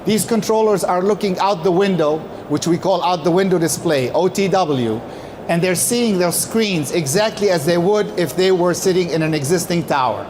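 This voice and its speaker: A man's voice: high-pitched, normal speed, noisy background. A man giving a prepared presentation as a company speaker, explaining emphatically and posing questions that he then answers. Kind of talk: prepared conference-style presentation talk.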